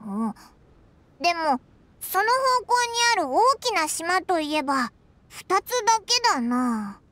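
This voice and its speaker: Casting: chirpy, boastful little fairy mascot in an anime game; extremely high, childlike squeak, bouncy and exclamatory. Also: female voice, sing-song, unhurried, a bit bright, dry recording, full character voice. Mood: doubtful. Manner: brash, boyish speech, exclamatory. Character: tiny chirpy childlike floating fairy companion, boastful, impatient. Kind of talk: Japanese anime game voice acting. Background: game music.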